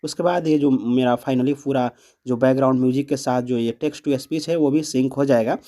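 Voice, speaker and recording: A man's voice: mid-range voice, moderate pace, warm tone. A man presenting a step-by-step app tutorial, explaining and repeating each step.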